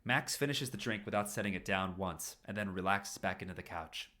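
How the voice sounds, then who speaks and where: Male story reader, informal live narration, low but forceful voice, prone to self-interrupting asides. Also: brisk. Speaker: streamer reading fan fiction aloud.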